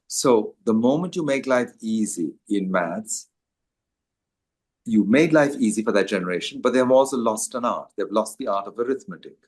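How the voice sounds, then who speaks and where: An older man with a lowish voice talks his way through answers, circling back with "um" and restarts as he gathers his thoughts. He speaks as an author chatting to an audience, unhurried and conversational. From Sri Lanka. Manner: hesitant, many fillers and restarts.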